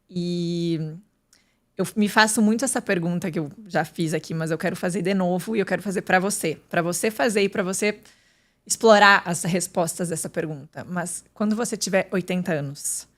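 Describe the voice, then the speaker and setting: A woman, a podcast guest talking candidly and casually, with many fillers and restarts. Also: medium pitch. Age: 30s. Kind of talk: casual interview chat.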